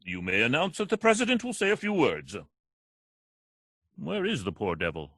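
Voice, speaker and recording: A man's voice: on the high side, wildly swooping pitch, moderate pace, a bit bright, breathy edge, no echo, studio quality, full character voice. Actor as a pompous, wildly swooping deluded man. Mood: pompous, grave. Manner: pompous, declamatory. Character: deluded, bombastic nephew who believes he's President. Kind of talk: radio play acting.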